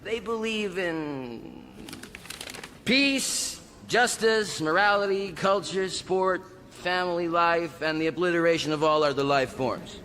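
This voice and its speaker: An actor playing a brash, laid-back alien judge who talks in slangy hipster patter in a radio comedy. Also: male voice, fairly high pitch, takes it slowly, sharp tone. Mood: breezy, swaggering. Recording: long echoing hall, noisy ambience.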